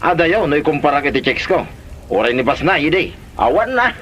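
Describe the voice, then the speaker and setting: A man's voice: a little high, very animated, a little fast, a bit bright, a little hiss or hum. A man with a Filipino accent playing a boastful womanizing husband in a comedy radio drama. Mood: boastful, carefree. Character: philandering husband, boastful about his online chatmate.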